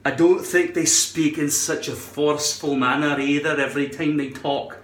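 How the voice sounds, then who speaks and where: A man's voice: fairly high pitch, fairly even intonation, normal speed, a bit bright. A man rambling through a casual product tutorial in a shaky put-on Scottish accent, repeating words and swearing now and then. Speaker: vlogger demonstrating a hairpiece adhesive product. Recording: roomy, echoing indoor sound.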